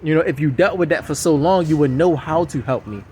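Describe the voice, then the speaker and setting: A man's voice: on the high side, a little fast, a little mellow, a little hiss or hum. Insistent man talking out on the street. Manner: repetitive, insistent, circles back to the same points. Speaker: vlogger. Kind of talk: casual rambling monologue to camera. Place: outdoors on city streets.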